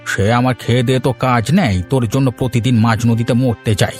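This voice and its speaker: A man's voice: mid-range voice, fairly even intonation, moderate pace, a little mellow, faint music behind, natural voice. A man voicing a grumbling, hard-pressed old village fisherman in a cartoon. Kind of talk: Bengali moral-story cartoon dubbing.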